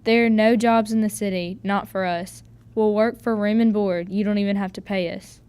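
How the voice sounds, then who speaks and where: A woman's voice: mid-range voice, steady tone, moderate pace, darkish tone, clean tone, dry recording, natural voice. A woman playing a plucky fourteen-year-old Irish servant girl in a radio drama, earnest, deferential and folksy. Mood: earnest pleading.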